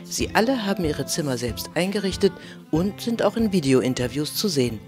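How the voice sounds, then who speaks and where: Woman, trailer narrator, animated delivery, clear background music. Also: sits low, normal speed. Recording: voice-over with clear music behind.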